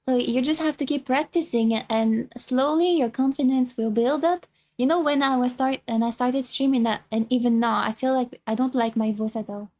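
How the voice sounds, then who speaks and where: French-accented young woman vtuber, highish voice, rambly and unsure of herself. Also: normal speed, a little mellow. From France. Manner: self-conscious, rambling, with many restarts. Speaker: VTuber streamer reacting to a video. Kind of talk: casual chat.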